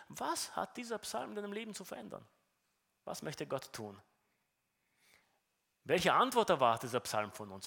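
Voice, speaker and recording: A man's voice: on the high side, a bit bright. A man with a Romanian accent preaching a sermon in an earnest teaching tone, often repeating words as he restarts.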